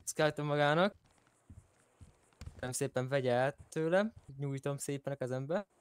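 A man's voice: medium pitch, clear, resonant tone, no room sound, natural voice. A man playing a polite, chatty police officer who fumbles through formalities in an online roleplay game. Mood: polite, amused.

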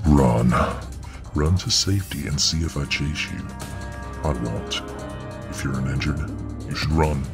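A man playing a huge, dominant, contemptuous supervillain in an ASMR audio role-play, with a very deep voice and deliberate, taunting delivery. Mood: quiet, coaxing. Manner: deliberate, taunting, theatrical monologuing. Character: huge muscle-bound male supervillain, dominant, contemptuous, righteous.

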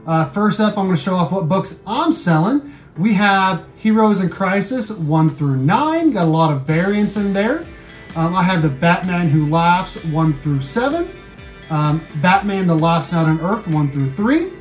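An American man in his thirties hosting a casual video, running through items for sale in a room at home. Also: sits high. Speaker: YouTube channel host. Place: small room at home, indoors.

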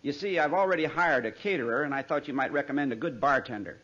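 An actor playing a polite, earnest band arranger with a husky voice in 1940s radio comedy style. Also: male voice, mid-range voice, fast talker, bright, forceful tone. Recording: dull vintage radio recording.

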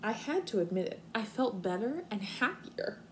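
Animated woman reading to young children. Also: mid-range voice, rough recording. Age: young adult. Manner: expressive, deliberate, explaining simply to young children. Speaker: kindergarten teacher. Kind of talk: children's read-aloud with explanatory asides. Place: living room at home, indoors. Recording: faint room noise, home video.

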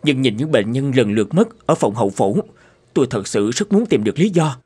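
A man voicing troubled, thoughtful doctors and professors in a cartoon drama, formal and measured. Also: mid-range voice, expressive, darkish tone, husky. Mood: thoughtful, earnest. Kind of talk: animated cartoon drama dubbing.

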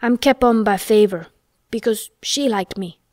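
A woman voicing an old Yorkshire gardener man in a storybook, crabbed but tender, with a dry, gruff, slow way of talking. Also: medium pitch, a little mellow, no echo, close to an everyday voice. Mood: plain, wistful. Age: older.

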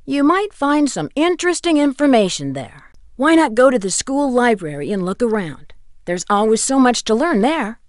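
A woman's voice: fairly high pitch, big pitch swings, moderate pace, dry recording, clean studio sound. A woman playing a helpful friend who offers hints in a scripted video game. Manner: helpful, suggesting. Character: helpful female friend giving puzzle hints.

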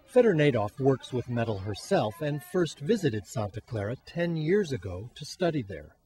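A man narrating a TV news feature as producer and reporter, in a measured scripted delivery. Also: medium pitch, sing-song, dark tone.

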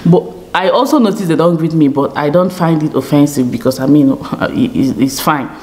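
A woman's voice: deep voice, moderate pace, a little mellow. A Nigerian woman in her thirties chatting to camera in a small home studio, often checking in with "okay?". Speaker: YouTube vlogger. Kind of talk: casual chat to camera.

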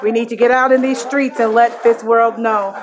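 A woman's voice: on the high side, fairly even intonation, moderate pace, a crowd in the background. Woman pastor giving a blessing. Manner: warm, preaching, laughs between phrases. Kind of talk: short blessing to viewers. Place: church banquet hall.

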